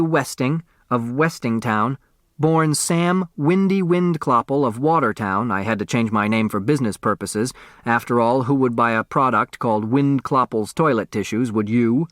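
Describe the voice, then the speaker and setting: A man's voice: mid-range voice, a little slow, a bit bright, dry recording, clean studio sound, lightly acted. A man voicing an eccentric rich old man's will in an audiobook, grandiose, showman-like and teasing. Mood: mocking, grand.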